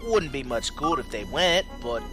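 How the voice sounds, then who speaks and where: An actor playing a blunt, informal streetwise robot operator in an audio drama. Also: male voice, mid-range voice, expressive, normal speed, over loud music, lightly acted. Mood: cocky. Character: self-professed streetwise male robot operator, plain-spoken.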